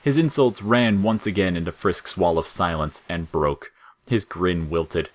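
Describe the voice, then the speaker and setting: Guy narrating an audio story, deep voice, scripted. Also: measured pace.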